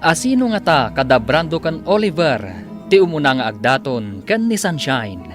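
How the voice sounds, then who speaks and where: A man narrating and announcing a radio drama serial in a scripted, theatrical style with drawn-out title calls. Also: fairly high pitch, big pitch swings, over music.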